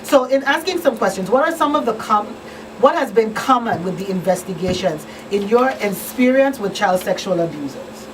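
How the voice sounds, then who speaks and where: A woman's voice: medium pitch, animated, moderate pace. A woman hosting a casual talk show in an informal, appreciative way. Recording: distant mic, clearly noisy background.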